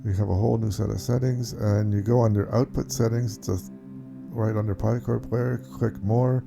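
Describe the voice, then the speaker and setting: A man giving a casual, unscripted software walkthrough, explaining things step by step in an informal way. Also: lowish voice, steady tone, normal speed, creaky, over music. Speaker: tutorial presenter.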